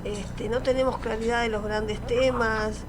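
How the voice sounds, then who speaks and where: A woman's voice: fairly high pitch, steady tone, unhurried, background noise. An Argentine woman with a Rioplatense accent, a radio host chatting casually in loose, filler-filled phrases over an echoey line. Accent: Rioplatense Spanish. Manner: filler-heavy, speaks in loose clauses.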